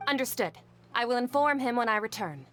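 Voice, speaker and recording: An actress playing a stern, dutiful academy official in a video game, speaking in a cold, formal, measured way. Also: female voice, a little high, expressive, fairly bright tone, faint music behind.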